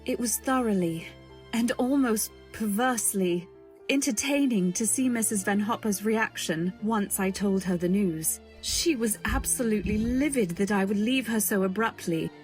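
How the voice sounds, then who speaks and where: A woman narrating and acting in an old-time style radio drama, with a gentle, earnest and hesitant way of speaking. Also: mid-range voice, normal speed, faint music behind. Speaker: narrator and lead actress.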